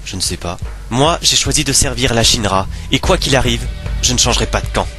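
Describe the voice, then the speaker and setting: A man voicing an easygoing, loyal young soldier in an amateur video game dub, casual and expressive. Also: mid-range voice, fairly quick, faint music behind. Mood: earnest, firm.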